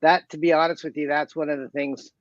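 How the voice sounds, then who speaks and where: A man's voice: on the high side, flat delivery, fairly quick, no echo. A man of about sixty chatting casually as a guest, with a mild, modest, slightly hesitant manner, over lo-fi audio. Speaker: live chat guest. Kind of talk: casual chat.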